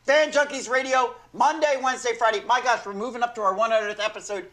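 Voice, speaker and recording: An older man with a hoarse, failing voice hosting a sports talk show from a small studio desk, rambling and correcting himself as he goes. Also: very high voice, fairly even intonation, fast talker, pushed and bright. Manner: rambling, self-correcting, chuckling. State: hoarse, losing his voice.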